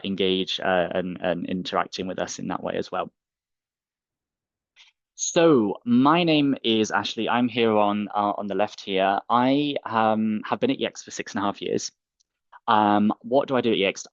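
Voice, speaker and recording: A man hosts a live software training webinar, talking in a relaxed way with plenty of fillers. His voice is low. The recording is dry and dull, with a lo-fi edge. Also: dry recording. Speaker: training team manager, webinar host.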